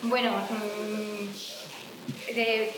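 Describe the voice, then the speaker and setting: A woman from Jaén with an Andalusian accent, giving an informal public talk in a halting way, with many restarts. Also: medium pitch, little pitch movement. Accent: Andalusian Spanish. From Jaén, Spain. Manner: hesitant, many restarts and fillers. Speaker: speaker presenting a fanzine. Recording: echoey room with background noise.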